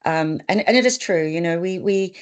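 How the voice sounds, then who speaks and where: A woman's voice: fairly low pitch, normal speed. A woman with a New Zealand accent hosting a webinar as a migration consultant, friendly and a little hesitant, with fillers. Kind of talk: webinar presentation.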